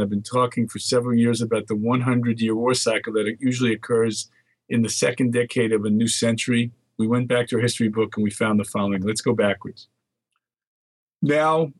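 A man's voice: mid-range voice, steady tone, a little fast, no room sound, poor audio. Older Dutch-born man reading off dates. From Netherlands. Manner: reads lists of dates aloud, thinking aloud. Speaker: market research guest. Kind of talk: casual phone interview chat.

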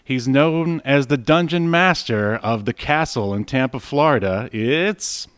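A man's voice: mid-range voice, measured pace, fairly bright tone, a little hiss or hum. A man co-hosting a podcast in casual, joking banter, laughing often and saying "uh" a lot. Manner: jokey, laughs often, many "uh" fillers. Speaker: podcast co-host.

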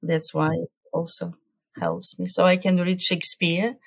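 A woman, a culture coach answering interview questions in a careful, measured way with hesitant fillers. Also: lowish voice, level tone, vocal fry, slightly rough. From Ukraine.

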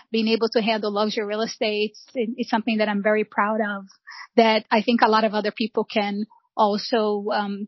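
A woman's voice: medium pitch, no room sound. A middle-aged woman from Brazil, earnestly telling her life story as a guest on an interview podcast. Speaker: guest, real estate founder. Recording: dull lo-fi, dry sound.

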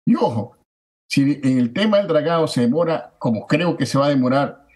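Man, fifties, political candidate, declamatory, repetitive emphasis, slightly high voice. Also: expressive, moderate pace. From Ecuador. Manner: forceful, declamatory, repeats phrases for emphasis. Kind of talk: campaign interview answers.

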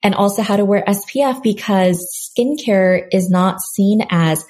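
A woman from South Korea, a skincare company founder, answering interview questions with enthusiastic, animated storytelling. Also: medium pitch, unhurried.

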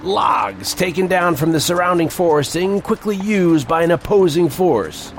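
An actor playing an older male reporter who narrates a strange frontier tale in an emphatic, deliberate storytelling manner. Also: fairly high pitch, expressive, a little hiss or hum. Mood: grave. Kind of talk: dramatic scripted first-person narration. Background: music bed.

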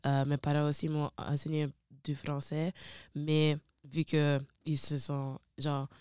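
A woman's voice: low-pitched, little pitch movement, slow pace, a little mellow, clear, resonant tone, dry recording, clean studio sound. A young woman from Italy with an Italian accent, chatting casually and informally as a podcast guest. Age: young adult. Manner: informal, frequent slang fillers like "genre". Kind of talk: casual chat.

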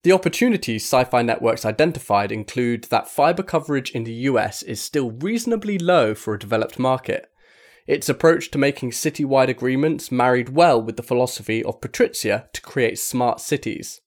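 Man narrating a magazine article, mid-pitched. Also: expressive, moderate pace. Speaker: article reader.

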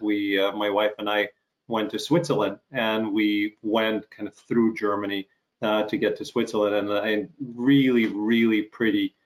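A middle-aged man from Ukraine hosting a casual interview over a video call, talking haltingly with many fillers and restarts. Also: fairly low pitch, rough recording. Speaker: interview host.

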